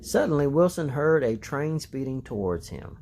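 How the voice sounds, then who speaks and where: A man's voice: medium pitch, big pitch swings, moderate pace, vocal fry, darkish tone, slight background noise. A man reading a children's story aloud as narrator. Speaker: storybook reader.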